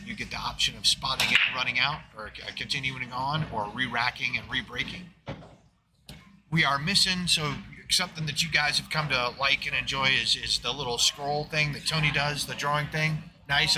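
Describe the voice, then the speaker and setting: Man, pool commentator, live stream, rambling, lots of fillers, middle pitch. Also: poor audio. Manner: rambling, filler-heavy, talks of his loud voice. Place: booth in a pool hall.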